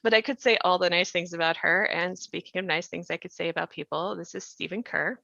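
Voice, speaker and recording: A woman's voice: on the low side, fairly even intonation, brisk, vocal fry, pushed and bright, no echo, muffled recording. A woman hosting a podcast discussion, speaking earnestly and effusively as she introduces things. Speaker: host, writer and podcast voice actor. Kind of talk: spoken introduction to a behind-the-scenes podcast discussion.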